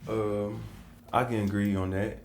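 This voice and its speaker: A man's voice: lowish voice, little pitch movement, unhurried, a little mellow, roomy sound, a little hiss or hum. A man co-hosting a podcast, talking in an easygoing way in loose, run-on phrases. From United States. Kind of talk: casual conversation.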